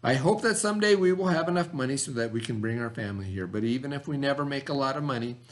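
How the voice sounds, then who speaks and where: A man reads the part of a wise, loving father from a storybook, proud of his child. He speaks solemnly and deliberately, gently firm, at a middle pitch. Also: lively intonation, lightly acted. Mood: firm, tender. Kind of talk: storybook read-aloud.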